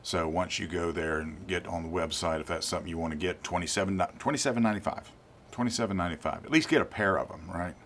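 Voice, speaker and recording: An older man with a low-pitched voice hosts an informal gear review. He talks straight to his viewers in a deliberate, emphatic way, repeating words to drive a point home. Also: a bit bright, a little hiss or hum. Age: 50s or older. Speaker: product reviewer, channel host.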